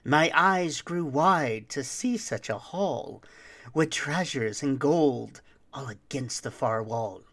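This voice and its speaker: A performer voicing a boastful, roguish thief who tells a rhyming holiday tale with dramatic, deliberate delivery. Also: mid-range voice, expressive, a bit bright, full character voice. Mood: sly, excited. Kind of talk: theatrical rhymed storytelling.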